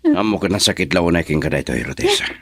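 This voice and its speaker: An earnest, reproachful suitor in a radio drama. The voice is deep and husky, with very expressive pitch and an admonishing way of talking. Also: male voice, fast talker, no room sound, studio quality, heavily acted. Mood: concerned. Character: earnest, reproachful young male suitor, Jaramil.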